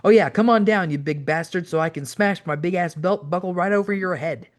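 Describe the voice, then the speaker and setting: Man playing prankster wrestler, high-pitched, exaggerated, mischievous, needling, audiobook character. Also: fairly quick, lightly acted. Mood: taunting. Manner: phony, over-exaggerated.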